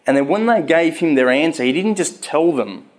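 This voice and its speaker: A man's voice: on the high side, expressive, moderate pace. A man in his thirties with a stutter, giving a candid, earnest personal talk on faith to a live audience, often restarting his words.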